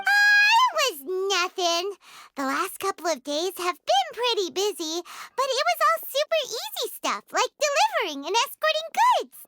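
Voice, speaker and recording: A woman's voice: extremely high pitch, sharp tone, dry recording, exaggerated voice. A woman voicing a small, chatty fairy companion in a game cutscene, eager and full of questions. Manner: chirpy, eager, asks lots of questions. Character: tiny floating fairy companion, chatty and excitable.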